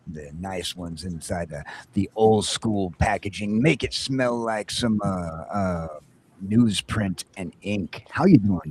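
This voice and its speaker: A man hosting a casual morning talk show, loud and excitable, hollering greetings and whooping between lines. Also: on the low side, a little hiss or hum. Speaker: host.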